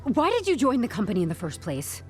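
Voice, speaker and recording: An actress playing a composed, stubborn HR newcomer in an audio drama, speaking with deadpan corporate politeness and wry candour. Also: female voice, a little high, quick pace, faint music behind. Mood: brisk, official. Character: composed, stubborn young HR newcomer turning interrogation into interview.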